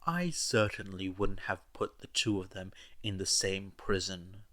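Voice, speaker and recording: A man voicing a solemn young man, a ghostly mentor in an audio story, speaking in a measured, earnest way. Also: low voice, sharp tone. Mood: bitter, grave.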